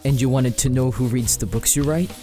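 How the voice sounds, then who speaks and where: A man's voice: mid-range voice, a little fast, dark tone, soft background music, close to an everyday voice. A man playing a shy, flustered swamp elf in a fantasy audio drama, stammering and hesitant. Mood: nervous.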